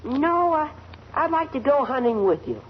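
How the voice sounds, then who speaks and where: A young male actor playing a dry, shrewd son in a 1940s radio comedy, speaking deadpan. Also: extremely high pitch, moderate pace. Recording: muffled 1940s radio with faint noise.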